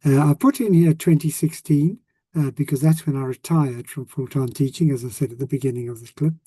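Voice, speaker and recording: A man in his sixties with a South African accent, a retired language teacher talking casually to former students, often pausing with ums and restarting sentences. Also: fairly high pitch, warm tone, husky, dry recording. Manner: hesitant, many ums and restarts.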